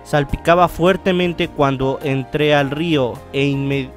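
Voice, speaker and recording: Higher-voiced man reading over music. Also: steady tone. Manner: halting read-aloud with stumbles and self-corrections. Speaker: gaming channel host. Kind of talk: casual let's-play talk and reading visual novel text aloud.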